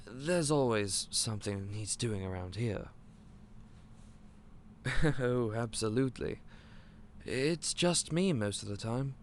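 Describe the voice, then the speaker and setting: An actor playing a gentle, clumsy candy shop owner who stammers and speaks in a polite, old-fashioned way. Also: male voice, mid-range voice, slight background noise. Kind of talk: audio roleplay acting.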